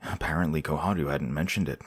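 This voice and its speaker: An actor playing a courteous visiting prince in an audio drama, measured and formal in manner. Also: male voice, rumbling low, fairly even intonation, dry recording, studio quality. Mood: grave, measured. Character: courteous, composed visiting foreign prince, a candid friend.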